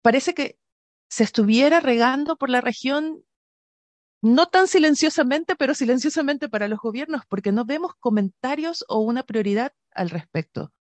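A woman's voice: sits high, expressive, normal speed, dry recording. A woman from Ecuador hosting an interview, asking questions with reflective, personal asides. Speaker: host.

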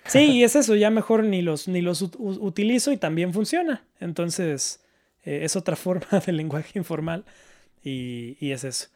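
A man's voice: sits high, moderate pace, a little mellow. A man chatting casually and reflectively, repeating words as he searches for the right phrasing. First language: Spanish. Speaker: panelist, learner of Japanese.

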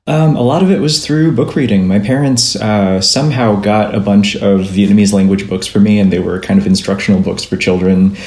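A man's voice: fairly low pitch, steady tone, a little fast, hardly any pauses, a little mellow, roomy sound. A man, a comic book artist, answering interview questions thoughtfully on a call, with light laughs. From Philippines-born, raised in Minnesota. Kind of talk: remote interview conversation. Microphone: remote call.

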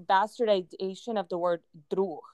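A woman's voice: mid-range voice, steady tone, moderate pace, darkish tone, smooth, no room sound. A woman from Ukraine whose first language is Russian, co-hosting a casual podcast chat in an earnest, circling way with many fillers. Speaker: podcast co-host.

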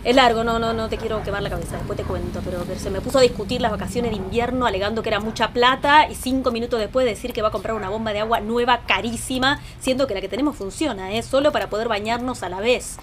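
A woman's voice: on the high side, quick pace, hardly any pauses, slight background noise, close to an everyday voice. A woman playing an exasperated, talkative Argentine wife and mother in a naturalistic audio drama, rambling in conversation. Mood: venting, exasperated. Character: exasperated, talkative wife and mother venting about her husband.

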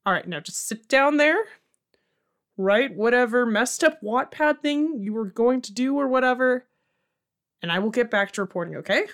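A woman's voice: a little high, lively intonation, moderate pace, a bit bright. A woman playing a flustered, placating night radio host in an audio comedy, chatty and rambling, often interrupting herself. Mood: hurt, placating. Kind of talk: audio comedy drama in radio show format.

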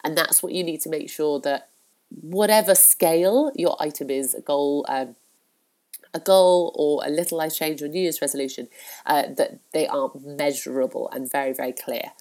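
Woman, low voice, congested nose, casual podcast host, encouraging, filler words. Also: moderate pace, darkish tone. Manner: chatty and encouraging, with frequent fillers. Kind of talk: casual solo podcast talk.

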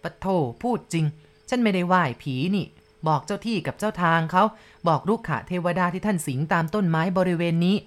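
A scrawny old shaman, short-tempered and commanding, snaps orders in a fairly low voice, as a woman narrator plays him. The delivery stays close to a plain storytelling voice. It comes across as a told ghost story. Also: brisk, lightly acted. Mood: stern. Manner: stern, commanding. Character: thin old male Karen shaman, stern and irritable.

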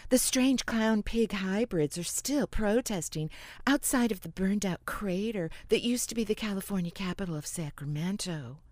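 A woman playing a washed-up, long-suffering news anchor, reading mock radio news in a measured deadpan. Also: medium pitch, no echo, natural voice.

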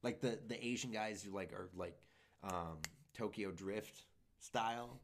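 A man's voice: lowish voice, measured pace, vocal fry. A young man and comedian chatting casually on a podcast, rambling and swearing freely as he tells stories. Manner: loose, profane, rambling storyteller. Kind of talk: casual chat.

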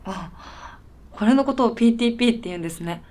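A woman's voice: fairly high pitch, animated, fast talker, darkish tone, lightly acted. An actress playing a polite, puzzled and tired woman with a dry, blunt streak in a radio drama. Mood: puzzled, timid. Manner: polite, dry, matter-of-fact. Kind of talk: Japanese radio drama dialogue.

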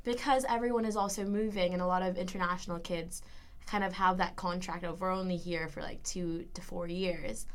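A young woman from the Philippines with an American accent, chatting casually as a podcast guest, lively and quick with playful quips. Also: fairly low pitch. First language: Tagalog. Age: young adult. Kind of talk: casual chat.

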